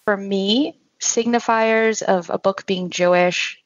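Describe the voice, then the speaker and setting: A woman's voice: medium pitch, fairly even intonation, slow pace, no echo. A woman on a podcast panel, speaking reflectively and personally. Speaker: panel guest. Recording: dry, slightly dull audio.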